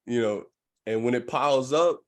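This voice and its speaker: A man's voice: mid-range voice, normal speed. A man hosting a podcast in casual conversation, with laid-back, slangy phrasing and an affirming way of talking. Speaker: podcast host.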